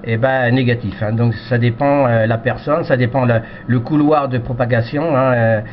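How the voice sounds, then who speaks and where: Halting Frenchman on a dull radio recording. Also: medium pitch, fairly even intonation. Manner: halting, repetitive phrasing. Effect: radio transmission. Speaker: amateur radio operator. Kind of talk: casual radio contact chat. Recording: dull, noisy radio audio.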